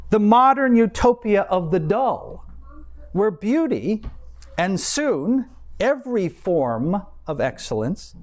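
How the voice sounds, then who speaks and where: A man's voice: high-pitched, sing-song, slow. A man preaching a scripted sermon in formal, erudite language, with wry asides. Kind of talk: scripted sermon, read like an essay.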